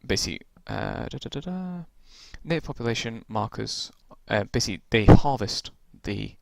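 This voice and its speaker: A man casually walking through tabletop game rules, rambling with lots of ums and restarts, his voice gone croaky from talking so long. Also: medium pitch, expressive, normal speed, no room sound.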